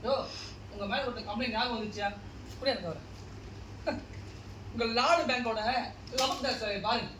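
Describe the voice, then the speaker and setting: Actor playing a cranky, high-pitched householder, far off. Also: male voice, moderate pace, pauses often. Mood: irritated. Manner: exasperated, scolding. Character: cranky, litigious householder, pompous and nitpicking. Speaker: stage actor. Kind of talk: comic stage play acting. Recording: distant mics, echoey hall, noisy.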